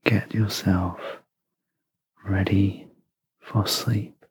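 A guide leading a sleep meditation in a breathy half-whisper, gentle and soothing, with long pauses between short phrases. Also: low voice, level tone, dry recording. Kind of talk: guided sleep meditation, soft scripted narration.

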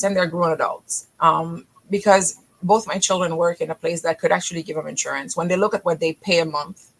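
A middle-aged woman from Haiti, a physician talking as a podcast guest in energetic, emphatic bursts that often restart mid-phrase. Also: lowish voice, poor audio. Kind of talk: podcast interview.

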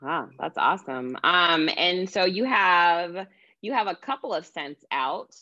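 An upbeat woman hosts a lifestyle interview with eager energy. Her voice is bright and forward. The audio is dull and lo-fi. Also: on the low side, lively intonation, measured pace. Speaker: lifestyle segment host. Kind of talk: interview hosting.

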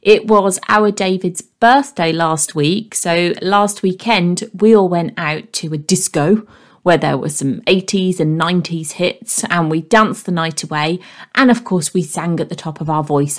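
A woman hosts a motivational podcast in a fairly low voice. A faint croak runs through her speech. She talks to her listeners in an earnest, encouraging tone. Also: a little slow. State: slightly croaky voice. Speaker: podcast host and coach.

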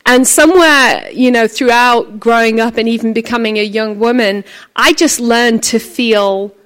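Woman, loud voice, slightly high, chatty, storytelling, conference sermon. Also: measured pace. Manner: chatty, animated storytelling with acted quotes. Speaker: conference speaker.

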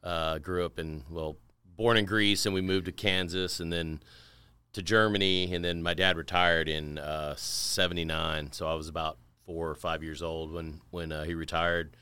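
Deep-voiced man telling stories, bright crisp tone. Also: fairly even intonation, normal speed, dry recording. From Tennessee, US. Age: middle-aged. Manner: rambling, with fillers and repeats, laughs. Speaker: podcast guest. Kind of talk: casual interview storytelling.

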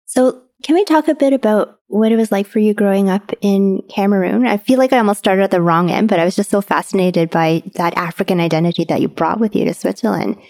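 A woman's voice: medium pitch, fairly quick, creaky, hardly any pauses. Inquisitive North American interviewer woman. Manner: warmly encouraging, inquisitive. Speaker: host. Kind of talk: conversational interview.

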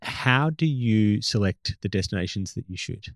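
A man hosting an interview, asking questions and adding thoughtful comments in a measured, articulate way. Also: lowish voice, animated, no room sound. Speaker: interviewer, host.

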